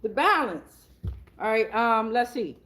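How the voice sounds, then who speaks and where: A woman with a fairly high voice leads a casual, improvised spiritual card reading. She is blunt and slangy, swears freely, and repeats phrases to drive them home. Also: animated, slow, a bit bright. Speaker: tarot reader hosting a reading.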